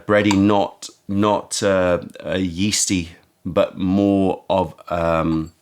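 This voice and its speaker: Slow, deep-voiced man, croaky from waking. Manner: slow, musing, searching for words. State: croaky, just woken up. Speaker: tea shop host. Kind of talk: casual tea tasting narration.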